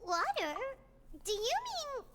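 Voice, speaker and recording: A woman voicing a tiny, excitable childlike fairy companion in a video game, with a squeaky voice. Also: takes it slowly, very noisy, full character voice. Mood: chirpy, curious. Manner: excitable, talks in third person. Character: tiny childlike fairy companion, chirpy, impatient, third-person talker.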